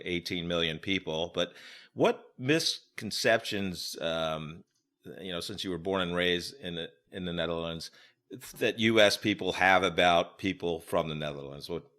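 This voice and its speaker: An American man hosting a podcast, asking questions in a casual, halting, somewhat rambling conversational way. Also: low voice, a bit bright. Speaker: podcast host, interviewer.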